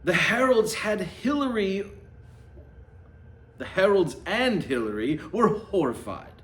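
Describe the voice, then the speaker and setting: A man's voice: high-pitched, big pitch swings, slow, a bit bright. A man reading a storybook aloud as satire, mock-dramatic in the telling and dropping into sarcastic, casual muttered asides. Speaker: host reading a children's book aloud with mocking commentary. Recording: roomy, echoing sound.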